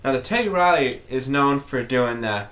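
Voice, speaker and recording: A man's voice: medium pitch, lively intonation, moderate pace, fairly bright tone. A man talking informally as he hosts an instructional music tutorial.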